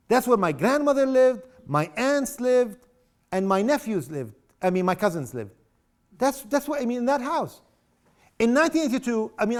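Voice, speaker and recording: A middle-aged man from South Lebanon lectures an audience with a notably high voice. His delivery is impassioned and sarcastic. He keeps throwing rhetorical questions at the listeners. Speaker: guest speaker. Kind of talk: public lecture.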